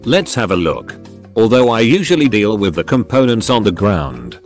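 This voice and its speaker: Male narrator for scripted readings of online comments: fairly deep, highly varied intonation. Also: big pitch swings, normal speed, soft background music.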